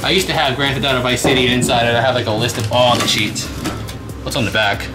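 Man, 20s, chatty vlogger, casual rambling, metal storage unit, loud music behind. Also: medium pitch, fairly even intonation, quick pace. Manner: chatty, rambling, talks to viewers. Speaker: treasure-hunting vlogger. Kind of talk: casual running commentary. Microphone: handheld camera mic.

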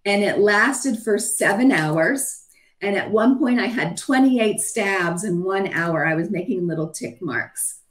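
A middle-aged woman with a hoarse voice giving a matter-of-fact slide talk about her own illness over a video call, with frequent ums. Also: medium pitch, expressive, a little slow. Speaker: physician sharing her own illness story. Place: home room, video call. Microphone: webcam on a video call.

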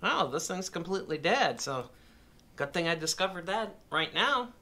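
Bright-voiced man rambling through an unboxing. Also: high-pitched, very animated, normal speed. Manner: rambling, self-correcting, chatty. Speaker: motorcycle vlogger. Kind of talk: casual product unboxing vlog. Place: home office indoors.

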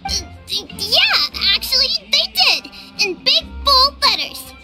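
A woman's voice: very high-pitched, sing-song, takes it slowly, music in the background, heavily acted. A woman voicing a spirited young girl, earnest and a little cheeky, in video game dialogue. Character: spirited young girl, a tribe elder's daughter.

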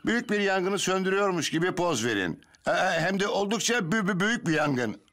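Fussy keen cartoon photographer, voice on the high side, music behind. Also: male voice, very animated, brisk. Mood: encouraging. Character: fussy, enthusiastic male newspaper photographer. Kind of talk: dubbed children's cartoon acting.

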